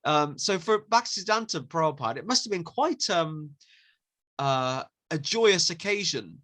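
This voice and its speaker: Guy hosting a podcast, bright sharp voice, lots of ums, careful over names. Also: a little high, expressive. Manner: halting, many fillers, careful with names. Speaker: podcast host. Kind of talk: casual interview chat.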